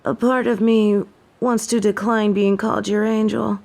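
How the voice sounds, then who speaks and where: Woman acting a haughty fallen angel, gravelly edge. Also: medium pitch, flat delivery, moderate pace, darkish tone, lightly acted. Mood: proud, softening. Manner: formal, haughty, theatrical diction. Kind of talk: scripted audio roleplay acting.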